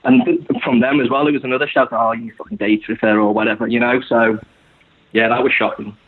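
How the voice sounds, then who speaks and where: Scouse guy, young, husky voice, rambly with loads of "you know", sounds like he's on the phone. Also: mid-range voice, fairly even intonation, a little fast. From Liverpool, England. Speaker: guest supporter. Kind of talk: casual podcast conversation. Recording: tinny phone-like line.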